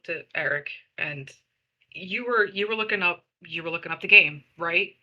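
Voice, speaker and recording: A woman with a very bright, tense voice roleplays at a tabletop game. She speaks earnestly and haltingly, circling back over her phrases as she improvises. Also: lowish voice, lo-fi sound.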